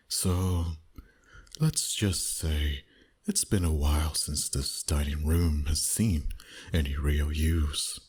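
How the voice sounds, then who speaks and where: Casting: man to play a flirty, commanding male vampire boss for ASMR roleplay; rock-bottom pitch, unhurried, teasing, half-whispered delivery. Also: a little mellow, exaggerated voice. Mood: tender, courteous. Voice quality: breathy, husky, often near a whisper. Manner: slow, teasing, flirtatious, dominant. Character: suave, dominant, flirty male vampire boss wooing his date. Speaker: voice actor.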